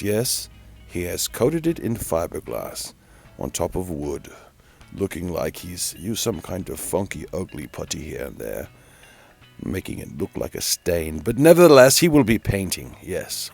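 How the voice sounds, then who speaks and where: Casting: man acting a theatrical play-by-play announcer, deep and creaky, pompous, teasing, musing aloud. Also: darkish tone, exaggerated voice. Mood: wry, puzzled. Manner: grandiose, drawn-out, musing aloud, mock-dramatic. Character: Chaz Leroy, flamboyant, theatrical male announcer, grandly teasing.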